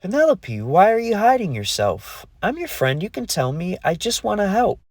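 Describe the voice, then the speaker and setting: A man's voice: normal speed, no echo, studio quality, exaggerated voice. A man voicing a cheerful, caring young female fairy in a rhyming storybook, in a bubbly, raised voice. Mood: worried. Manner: bubbly, excited, high-pitched. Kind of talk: storybook read-along voice.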